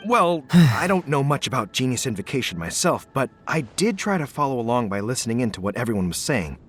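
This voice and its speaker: A man's voice: medium pitch, big pitch swings, brisk, soft background music, natural voice. A man voicing a weary, sighing knight in a video game who rambles a little. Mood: dejected.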